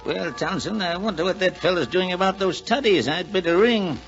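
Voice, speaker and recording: An actor playing a sentimental, lonely gentleman in a 1940s radio drama, earnest and hesitant in a theatrical way. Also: sits high, normal speed, music in the background. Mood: wondering. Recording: muffled, noisy 1940s radio recording.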